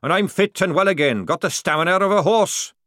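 A man voicing a gruff, sardonic old army sergeant in an audiobook, with a barking military manner. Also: medium pitch, expressive, moderate pace, a bit bright, no echo, clean studio sound, pitched above the performer's own voice, lightly acted. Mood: protesting. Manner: barking, sarcastic military speech.

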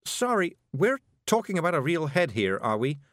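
A man voicing a prim, polite older witch who speaks slowly and carefully. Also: on the high side, big pitch swings, fairly bright tone, no room sound, clean studio sound, voice pushed up. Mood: aghast. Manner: slow, careful, genteel.

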